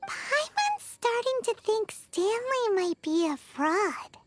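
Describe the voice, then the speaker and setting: A tiny fairy companion from a video game, with an extremely high, squeaky child's voice. She is blunt and cheeky, speaking at an unhurried pace with bouncy intonation over music. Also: big pitch swings, dry recording, full character voice. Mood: suspicious, teasing.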